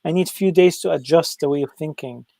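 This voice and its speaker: Man, host, mellow dark voice, dry sound, halting questions, repeated starts. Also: fairly high pitch, creaky, no echo. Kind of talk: interview questions.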